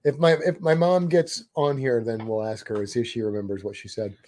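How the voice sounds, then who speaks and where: A man co-hosting a casual livestream, easygoing and chuckling as he reads viewer comments, his voice a little hoarse. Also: mid-range voice, fairly even intonation, fairly quick, darkish tone. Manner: easygoing, chuckles often, hums to himself. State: slightly hoarse. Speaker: livestream co-host.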